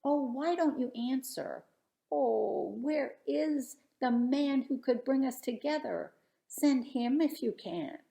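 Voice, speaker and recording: A woman's voice: sits high, slow, creaky, dark tone, pitched above the performer's own voice, full character voice. A woman voicing Job, a pleading righteous man. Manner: rhyming, protesting. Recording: roomy echo.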